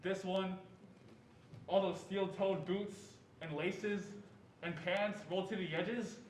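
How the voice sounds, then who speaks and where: A man's voice: high-pitched, fairly even intonation, takes it slowly. A young man playing a reflective narrator haunted by a childhood memory, in scripted stage narration. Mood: uneasy. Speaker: student reader in a reader's theatre performance. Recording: echoey room, distant mic, faint noise.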